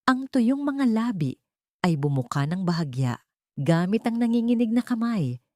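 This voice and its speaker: Filipino female audiobook narrator, low register, dry close sound, dramatic scripted storytelling. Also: darkish tone, dry recording.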